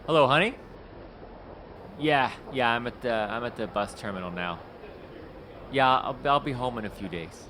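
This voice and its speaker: A tenacious middle-aged talent scout, played by a man, talkative and easily worried. His voice sits mid-range. Clear vehicle and road noise fills the background. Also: medium pitch, normal speed, long pauses, bright, forceful tone, lightly acted. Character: tenacious male talent scout, fast-talking and anxious. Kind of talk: radio drama acting.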